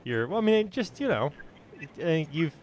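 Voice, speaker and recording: A man hosting a casual podcast, asking questions in a halting, joking, informal way. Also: fairly high pitch, big pitch swings, a little hiss or hum. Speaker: podcast host, writer. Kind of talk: casual chat.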